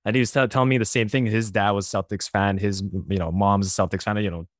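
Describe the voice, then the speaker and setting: A male podcast host who talks quickly in a slightly low voice, chatty and full of fillers. He laughs easily as he leads a casual conversation. The sound is close and dry. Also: steady tone, no echo. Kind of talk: casual interview chat.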